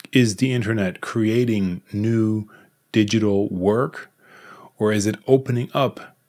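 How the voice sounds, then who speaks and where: A man with a lowish voice hosts an interview podcast. He speaks in a measured, articulate way while he introduces his guest and asks questions. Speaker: podcast host, consultant.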